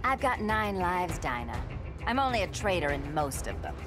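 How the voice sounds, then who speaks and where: A woman's voice: fairly low pitch, sing-song, normal speed. An actress voicing a sly, teasing female cat burglar with a purring manner in a game cutscene. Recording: loud music behind.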